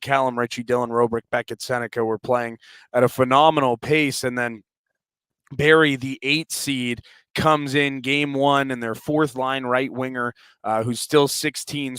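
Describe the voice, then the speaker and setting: A male sports announcer with a clear, resonant voice at a middle pitch speaks as a guest. He gives detailed, conversational analysis, and the recording is dry with little room sound. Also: fairly bright tone, dry recording. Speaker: hockey play-by-play announcer, show guest.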